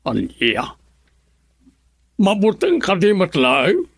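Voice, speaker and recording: A man's voice: high voice, huge pitch swings, takes it slowly, slightly rough, dry recording, full character voice. A man with an Ilocano accent playing a stern, wrathful older father in a radio drama. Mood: angry, stern. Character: stern, wrathful father resentful of his son-in-law.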